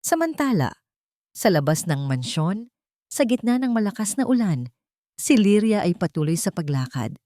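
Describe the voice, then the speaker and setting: A woman's voice: mid-range voice, very animated, moderate pace, dark tone, no room sound, clean studio sound. A woman reading scripted, dramatic story narration as an audiobook narrator.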